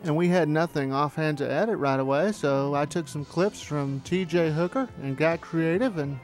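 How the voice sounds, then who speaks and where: Man, 40s, from Texas, higher voice, reminiscing documentary narrator, music underneath. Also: moderate pace. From Texas, United States. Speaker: documentary narrator and amateur filmmaker.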